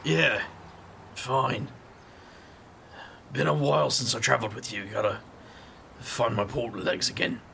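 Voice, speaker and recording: A man plays a wry, easygoing street magician with a deeply gravelly, crackling voice. He talks in a dry, self-deprecating way, over steady background hiss. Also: measured pace, long pauses, full character voice. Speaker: first-person narrator of the story.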